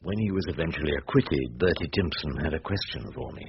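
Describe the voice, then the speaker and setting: An actor playing a wry, worldly older defence barrister with an English accent, acting and narrating in a radio drama. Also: male voice, rumbling low, lively intonation, brisk. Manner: wry, sly, avuncular. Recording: dull radio recording, faint noise.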